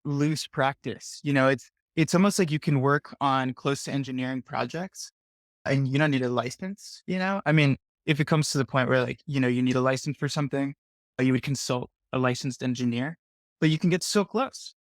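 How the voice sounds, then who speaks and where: Casting: young designer guy, mid-pitch, rambling chatty delivery, dry close sound. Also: brisk, dry recording. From Colombia. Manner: rambling, heavy use of "like". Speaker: guest, industrial designer. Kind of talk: casual video chat.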